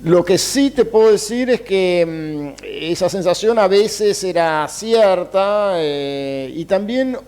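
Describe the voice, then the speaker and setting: A man with an Argentine accent, a writer and teacher, answering interview questions thoughtfully, with frequent hesitations and soft chuckles. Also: high-pitched, animated, slow, hardly any pauses, clear, resonant tone. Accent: Argentine Spanish.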